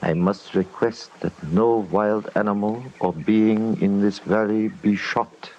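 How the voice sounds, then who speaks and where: Dignified old lama, low muffled voice. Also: male voice, fairly even intonation, darkish tone. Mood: dignified, gentle. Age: older. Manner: stately, formal, deliberate. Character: dignified old Tibetan lama, gentle, solemn authority. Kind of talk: old-time radio drama acting. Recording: muffled, noisy old radio recording.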